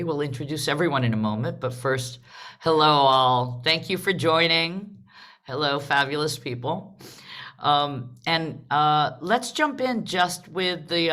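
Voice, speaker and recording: A woman speaking as a theatre director and host, welcoming an online audience on a video call in a measured way with pauses between phrases. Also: low-pitched, a bit bright.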